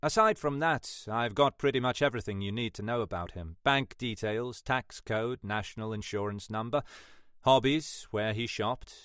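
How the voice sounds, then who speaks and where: A man's voice: mid-range voice, moderate pace, a bit bright, smooth, no echo, studio quality, close to an everyday voice. Man acting a cocky young cut-glass English techie. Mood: matter-of-fact. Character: cocky skinny young male computer forensics whiz, twenty-six.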